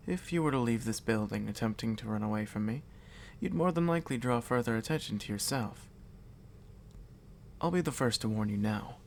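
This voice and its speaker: A man voicing a calm, courteous but predatory vampire noble in an ASMR roleplay, speaking in a measured, condescendingly reassuring way. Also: mid-range voice, close to an everyday voice. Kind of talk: ASMR audio roleplay addressed to the listener. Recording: audible background noise and ambience.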